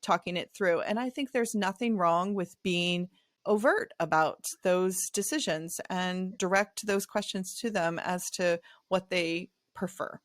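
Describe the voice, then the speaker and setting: A woman's voice: lowish voice, measured pace, no echo, clean studio sound. Chatty middle-aged woman podcast host. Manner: chatty, animated storytelling with playful asides. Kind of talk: conversational interview.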